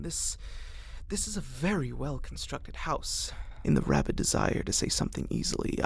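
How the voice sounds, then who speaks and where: A man reading a horror story as whispered ASMR, theatrical and deliberate, with a breathy, husky voice close to the microphone. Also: medium pitch, no room sound. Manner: theatrical, deliberate phrasing with dramatic swells.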